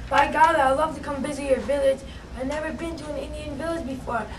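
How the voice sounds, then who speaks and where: A woman's voice: high-pitched, steady tone, fast talker, natural voice. A woman voicing an eager, friendly young settler boy. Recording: distant echoey room with noise.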